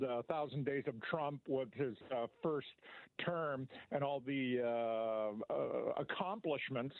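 An American man calling in to a radio show over the phone, chatting casually and haltingly as he searches for words. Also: mid-range voice, no room sound. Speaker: regular radio show caller. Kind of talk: casual political chat. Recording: tinny phone line.

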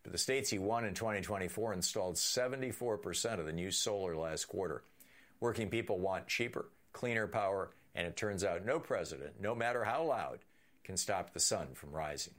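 A man's voice: deep voice, lively intonation, a little fast, a bit bright. A man hosting a political talk radio show, delivering punchy, emphatic commentary and taking calls. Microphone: studio microphone.

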